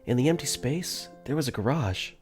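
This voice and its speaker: A man's voice: mid-range voice, animated, normal speed, darkish tone, soft background music. A man narrating a visual novel fan dub in first person, earnest and easily flustered.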